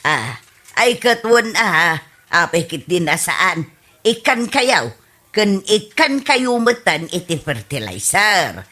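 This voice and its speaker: A woman's voice: lowish voice, big pitch swings, takes it slowly, sharp tone, heavily acted. A woman with an Ilocano accent playing a kindly, modest old village woman in a radio drama. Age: older.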